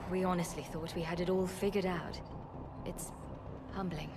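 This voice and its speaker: A woman playing an earnest, composed scientist in a sci-fi video game, speaking in a measured, articulate way. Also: lowish voice, fairly even intonation, vocal fry, background noise. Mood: reflective. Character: earnest, composed adult woman scientist, former research physicist.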